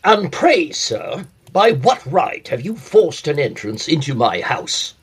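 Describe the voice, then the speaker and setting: A man's voice: fairly high pitch, very animated, takes it slowly, husky, full character voice. A man playing a stern, reclusive scholar in a period audio drama, formal and imperious with a stiff courtesy. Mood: indignant. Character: stern, reclusive scholarly master of a lonely house.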